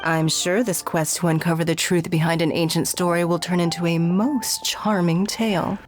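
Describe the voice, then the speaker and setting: A woman's voice: on the low side, steady tone, moderate pace, close to an everyday voice. A woman playing an elegant, courteous noblewoman leader in a video game, speaking formally and with refinement. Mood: gracious, reflective. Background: game music.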